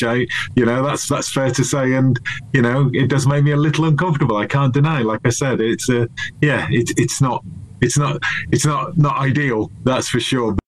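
A man's voice: mid-range voice, slight background noise, rough recording. A man in a recorded interview, hesitant and stumbling over his words. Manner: hesitant, repeats words and restarts. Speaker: local party member in a clip.

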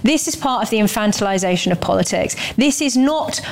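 A woman's voice: medium pitch, expressive, brisk, a little hiss or hum. A woman on a political talk panel, articulate and pointedly critical.

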